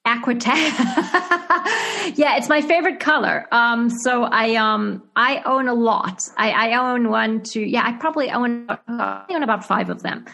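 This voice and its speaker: A woman's voice: a little high, a little slow, creaky, sharp tone, faint music behind. A woman with a Zimbabwean accent, an actress and writer answering interview questions casually, with lots of fillers, restarts and laughter. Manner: hesitant, many fillers and restarts, laughs often. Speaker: actress and screenwriter being interviewed.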